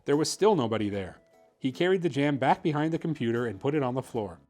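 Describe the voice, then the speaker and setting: A man's voice: mid-range voice, animated, quick pace, darkish tone, faint music behind. A man hosting a story time, narrating a children's picture book expressively. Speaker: host reading children's picture books.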